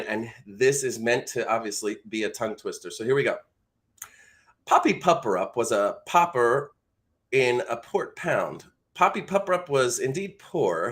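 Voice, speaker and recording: A man's voice: medium pitch, a bit bright. A man hosting a live voice acting lesson, talking casually and encouragingly and reading practice lines aloud. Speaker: voice acting coach and livestream host. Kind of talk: casual live teaching with read-aloud demonstrations.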